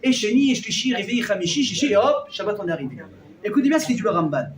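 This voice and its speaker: Animated rabbi with a high voice. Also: male voice, quick pace. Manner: animated, jokey, quizzes the audience. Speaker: rabbi giving a class. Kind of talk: lively Torah class with audience. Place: hall with a live audience. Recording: echoey room, mic at a distance.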